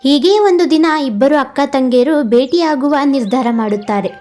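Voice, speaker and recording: A woman narrating an animated moral story in a steady, storytelling manner. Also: high voice, normal speed, over music.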